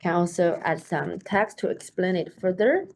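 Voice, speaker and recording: A woman with a lowish voice, a native Mandarin speaker, demonstrates software features over a screen share. She talks in a loose, chatty way, leaning on fillers as she clicks through each step. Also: moderate pace, gravelly. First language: Mandarin Chinese. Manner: chatty, with frequent "like" and "so that is to say". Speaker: software demo presenter. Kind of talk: casual screen-share tutorial. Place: at a computer, recording her screen.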